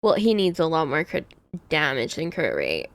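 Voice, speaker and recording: A woman's voice: deep voice, huge pitch swings, normal speed, no echo. A woman streamer chatting casually on a livestream, thinking aloud about game builds and laughing often.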